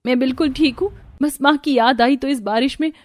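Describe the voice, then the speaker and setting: A woman's voice: sits high, normal speed, no room sound, clean studio sound, close to an everyday voice. A woman voicing a meek, hurt young daughter-in-law in a scripted cartoon, plaintive and submissive. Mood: wistful.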